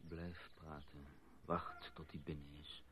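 An actor playing an urgent, impatient, hot-tempered journalist husband in a Dutch radio play. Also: male voice, extremely low pitch, little pitch movement, slow pace, a bit bright, husky, heavily acted. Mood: hushed urgency. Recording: dull, muffled old radio recording.

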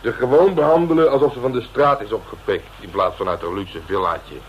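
An actor playing a cocky, hard-boiled police inspector in a radio drama, bossy and colloquial, with a self-satisfied way of talking. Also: male voice, medium pitch, steady tone, brisk, lo-fi sound, slight background noise, close to an everyday voice. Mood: smug, gloating. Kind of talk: Dutch radio drama acting.

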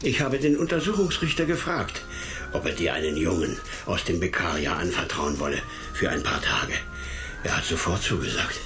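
A man acting a grumpy, stern police chief in a radio crime drama, with a gruff, emphatic delivery. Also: sits low. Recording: studio drama under prominent music.

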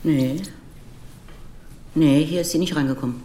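Very deep-voiced, curt cafeteria woman. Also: steady tone, a little slow, mellow. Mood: indifferent. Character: curt roadside cafeteria worker, indifferent and plain-spoken. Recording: echoey room with background noise.

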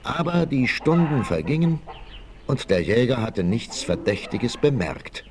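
A man's voice: medium pitch, animated, measured pace, crowd noise, lightly acted. A man narrating a 1960s radio adventure as scripted, dramatic storytelling. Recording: 1968 vinyl radio play, noisy.

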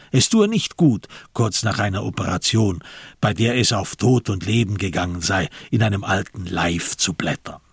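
A man narrating a radio crime drama in a measured, sober, literary manner, with a husky voice. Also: fairly low pitch, no echo, clean studio sound.

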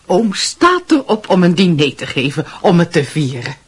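An actress playing a fussy, decisive aunt in a radio play, with a husky voice. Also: female voice, lowish voice, big pitch swings, normal speed, heavily acted. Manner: fussy, insistent. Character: fussy, decisive middle-aged aunt planning family dinner. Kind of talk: radio drama acting.

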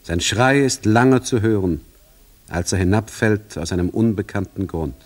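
A man narrates a literary radio play. His voice sits low, and he reads in a scripted, literary style. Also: moderate pace.

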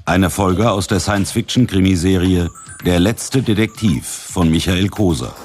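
Low-voiced man reading radio credits. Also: normal speed, darkish tone. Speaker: radio drama announcer. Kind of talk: scripted closing credits. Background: faint music.

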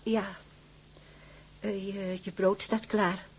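A woman acting in a Dutch radio drama as a gentle, subdued, caring mother of a sailor. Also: mid-range voice, flat delivery, very slow, slightly rough, heavily acted. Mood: concerned, subdued. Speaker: actor.

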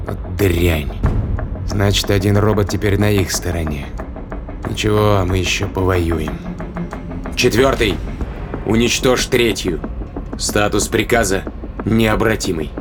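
An embattled starship captain in a sci-fi audio drama. He is irritable and terse, barking orders and curses in a fairly low voice over prominent music. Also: takes it slowly, long pauses. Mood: bitter, frustrated, then commanding, urgent. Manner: terse, commanding, cursing. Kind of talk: science fiction audio drama.

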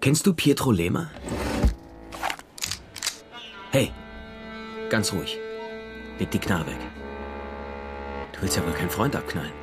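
A man's voice: sits low, very animated, moderate pace, music in the background. An actor playing a worldly-wise male private detective in a German radio crime drama, speaking in a dry, colloquial, understated way. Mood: calm, probing. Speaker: lead actor and first-person narrator.

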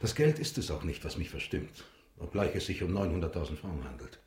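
Low-voiced stern patriarch, echoing room. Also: male voice, expressive, a little fast, a little mellow. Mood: gloomy, subdued. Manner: formal, severe. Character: stern, embittered patriarch and prosecutor, proud and rigid. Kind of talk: German radio drama acting.

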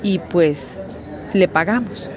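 A woman's voice: fairly low pitch, slow, over a noisy crowd. A woman acting a weary, plain-spoken working-class migrant in a radio drama, telling of her ordeal. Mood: earnest, rueful. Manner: plain-spoken, colloquial storytelling.

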